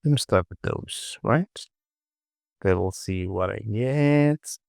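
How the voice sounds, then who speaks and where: A man presenting a casual software tutorial, informally talking himself through the work and often repeating words, close to the mic. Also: fairly low pitch, expressive, slow, creaky, no echo.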